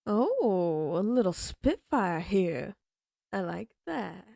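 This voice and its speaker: A female outlaw leader drawls slow, taunting threats at her captives, as a woman acts her out. She speaks at a leisurely pace in a mid-range voice, recorded close and very dry for an audio roleplay. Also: mid-range voice, sing-song, vocal fry, dark tone, no echo, exaggerated voice. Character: menacing female outlaw gang leader, mocking. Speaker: voice actress.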